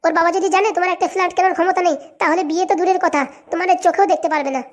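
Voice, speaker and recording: A performer voicing a scheming, sharp-tongued mother in a comedy cartoon, pushy and scornful. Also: very high-pitched, fairly even intonation, rapid-fire.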